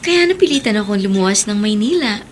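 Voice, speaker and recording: An actress with a Filipino accent playing an inquisitive widow from the provinces in a radio drama. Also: female voice, medium pitch, lively intonation, fast talker, a little hiss or hum, close to an everyday voice. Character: widowed provincial woman, inquisitive old flame.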